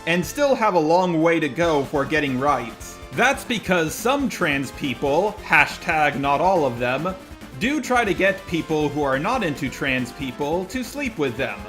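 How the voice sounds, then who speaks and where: A man hosts a scripted video commentary in a fairly high voice. His pitch moves around in an animated way, with faint music underneath. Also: a little slow. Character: persona of a robot. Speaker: host.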